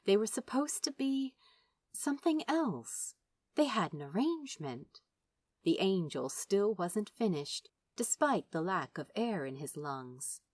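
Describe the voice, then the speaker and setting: A woman reading fiction aloud as a narrator, dramatic and wry, with deliberate phrasing. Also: medium pitch, big pitch swings, darkish tone, no room sound. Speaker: audiobook-style narrator. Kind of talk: expressive scripted fiction narration.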